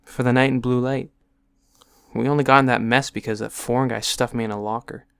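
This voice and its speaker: A man voicing a kind, hesitant high school boy in an audiobook drama. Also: fairly even intonation, brisk, vocal fry, fairly bright tone, clean tone. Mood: subdued. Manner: hesitant, awkward. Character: awkward, kind-hearted teenage schoolboy roommate. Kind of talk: audiobook character acting.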